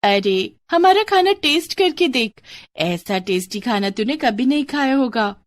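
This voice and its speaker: A woman voicing a boastful, excitable well-off schoolgirl in a storybook tale, bubbly and showy. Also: fairly high pitch, measured pace, no room sound, pitched above the performer's own voice. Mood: condescending. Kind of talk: storybook character dialogue.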